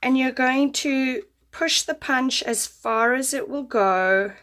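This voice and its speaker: Casting: woman craft demonstrator, slightly higher voice, unhurried, voice going from a cold, chatty how-to delivery. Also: a bit bright. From United States. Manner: chatty, friendly step-by-step instruction. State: losing her voice. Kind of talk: craft tutorial. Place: indoor craft table.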